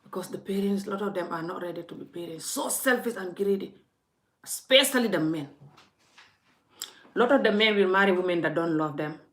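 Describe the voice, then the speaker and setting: Lower-voiced woman ranting in an echoey room. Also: brisk, a bit bright. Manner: forthright, scolding, speaks straight to the viewer. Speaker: vlogger. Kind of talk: impassioned vlog rant.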